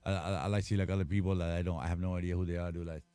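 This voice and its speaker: A man's voice: low voice, little pitch movement, racing, dark tone, clean tone, dry recording. A man in casual chat after a karaoke night, talking in a slangy, stammering way with lots of swearing.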